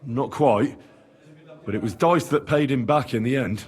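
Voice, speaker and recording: An actor playing a young, modest, good-natured medieval adventurer in a video game. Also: male voice, mid-range voice, moderate pace, husky, faint music behind, natural voice. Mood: matter-of-fact. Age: young adult. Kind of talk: video game cutscene acting.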